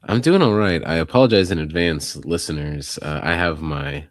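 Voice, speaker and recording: A man co-hosting a local news podcast in casual chat, hoarse and husky from a recent illness, laid-back and rambling with self-deprecating jokes. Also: low-pitched, moderate pace, no echo, studio quality.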